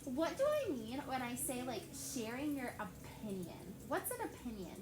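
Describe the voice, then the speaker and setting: A woman's voice: high-pitched, wildly swooping pitch, moderate pace. Chatty teacher woman in an echoey classroom. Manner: chatty, asks the kids many questions, heavy use of "like". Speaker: elementary school teacher. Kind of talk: classroom read-aloud lesson. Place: classroom with children on a carpet. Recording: echoey classroom with background noise.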